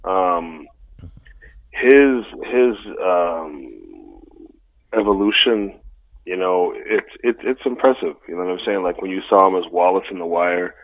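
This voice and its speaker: A man's voice: low-pitched, measured pace, dry recording. A man co-hosting a casual podcast chat, laid-back and slangy, laughing often. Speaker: podcast co-host. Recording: dry, tinny phone-like sound.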